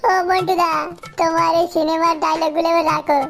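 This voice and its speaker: A woman's voice: very high-pitched, level tone, quick pace, fairly bright tone, a little hiss or hum. A woman voicing an impatient young village sidekick lad in a comic cartoon.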